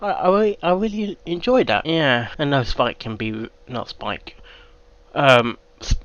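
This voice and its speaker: Lively, halting man. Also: mid-range voice, dull audio. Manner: halting, repeats phrases. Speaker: reaction video host. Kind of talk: casual reaction commentary.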